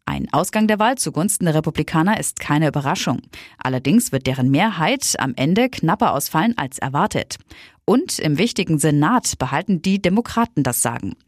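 A woman reads a news bulletin in an even, matter-of-fact tone. Her voice is very low for a woman. The recording is dry and close, with no room sound. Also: fairly quick, no room sound. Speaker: news reader.

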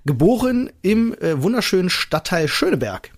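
A man with a somewhat high-pitched voice who co-hosts a casual podcast. He talks in an upbeat, chatty way and laughs often. He is from Berlin. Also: sing-song, normal speed. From Berlin, Germany. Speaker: podcast co-host. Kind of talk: casual chat.

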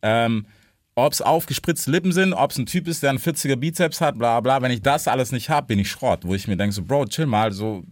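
A man's voice: mid-range voice, lively intonation, fairly quick, no echo. Laid-back man, dry close sound. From United States. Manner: laid-back, street slang. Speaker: interviewer, host. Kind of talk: casual interview chat.

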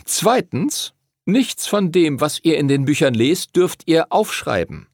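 A man's voice: on the high side, sing-song, measured pace, no echo, studio quality, pitched above the performer's own voice, exaggerated voice. A man voicing a small, friendly library master in a storybook, solemn and instructive with a playful streak. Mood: strict, instructive.

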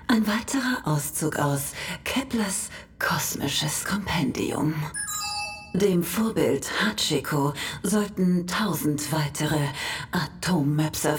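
An actress playing the solemn, formal narrator of a cosmic encyclopedia in a sci-fi radio play. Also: female voice, fairly low pitch. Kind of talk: scripted mock-documentary narration in a radio play.

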